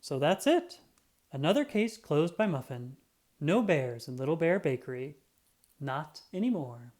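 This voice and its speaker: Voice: medium pitch, measured pace. A storybook narrator reading a children's picture book aloud expressively and dramatically, as a self-important cat detective telling a tale. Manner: expressive, dramatic, acts out sound effects.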